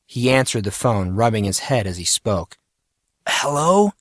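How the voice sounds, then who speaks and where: A man narrating scripted fiction in a measured, expressive storytelling manner. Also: lowish voice, dry recording, clean studio sound. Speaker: audiobook narrator.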